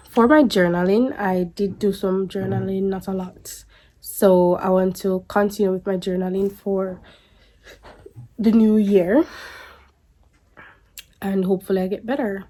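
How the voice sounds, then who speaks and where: A woman's voice: medium pitch, mellow. A young woman vlogger, congested from a cold, chatting casually to camera with many restarts. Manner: chatty, stops and restarts, rambling. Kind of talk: casual vlog chat to camera.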